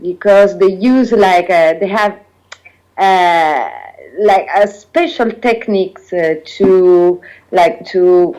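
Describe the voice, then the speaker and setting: A woman with an Italian accent, telling her own story as an interview guest, pausing often to search for English words. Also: on the low side, darkish tone, clear, resonant tone, poor audio. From Italy. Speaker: guest sharing personal experience. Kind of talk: earnest personal account in interview.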